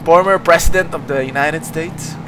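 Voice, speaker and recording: A young Filipino man streaming a roleplay game, speaking slow, halting English with fillers. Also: fairly high pitch, pitched below the performer's own voice, lightly acted. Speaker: streamer playing a president character in a roleplay server. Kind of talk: roleplay game streaming with donation shout-outs. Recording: noisy game audio behind.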